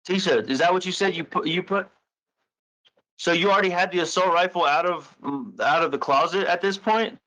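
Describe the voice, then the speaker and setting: Bright-voiced man, halting and coaxing. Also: sits high. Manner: halting, repeats and rephrases, coaxing. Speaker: caller questioning the other speaker. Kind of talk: tense private phone conversation, questioning. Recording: recorded phone call. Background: recorded phone call.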